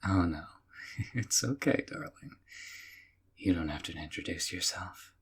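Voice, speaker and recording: A sweetly obsessive young man who has taken someone home to pamper them, as a male voice actor plays him. His voice sits very low and husky, and he speaks softly and coaxingly, often dropping to a whisper, in an audio roleplay. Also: big pitch swings, measured pace, exaggerated voice. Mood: doting.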